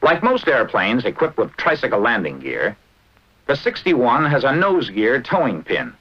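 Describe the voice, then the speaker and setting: Lively American 1940s training film narrator, male. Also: mid-range voice, husky. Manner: brisk, instructive, clipped short phrases. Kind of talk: scripted 1940s military instructional film narration. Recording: dull, band-limited vintage film soundtrack.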